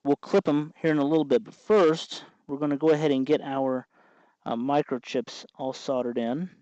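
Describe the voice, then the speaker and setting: A man hosting an informal how-to tutorial, explaining step by step in an unhurried way as he works with his hands. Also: a little high, steady tone, a little mellow, no room sound, lo-fi sound. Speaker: host of a DIY electronics tutorial.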